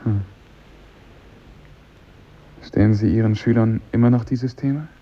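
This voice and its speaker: A man's voice: lowish voice, fairly even intonation, unhurried, warm tone, lightly acted. An actor playing a mentally disturbed, intense man with a formal, probing manner in a 1950s German radio play. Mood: eerie, probing. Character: mentally disturbed former pupil, eerie, intense, easily affronted. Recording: dull vintage radio recording.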